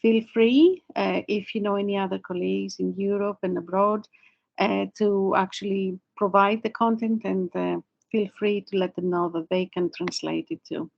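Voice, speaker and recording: Woman presenting on a webinar, bit of vocal fry, dull online audio. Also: mid-range voice, steady tone, normal speed.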